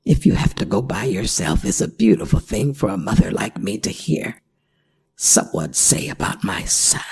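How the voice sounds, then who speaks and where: A performer voicing a worried, gentle older werewolf mother in an ASMR audio drama, speaking in halting, pleading phrases. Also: medium pitch, very animated, gravelly, breathy edge, full character voice. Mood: tender, moved.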